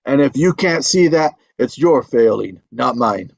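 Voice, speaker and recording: A man's voice: mid-range voice, expressive, unhurried, vocal fry, dull audio. A man playing an aging father, a stubborn, folksy teller of tall tales, in a script table read. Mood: hurt, defiant. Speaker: actor in a screenplay table read. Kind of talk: live script table read, dramatic dialogue.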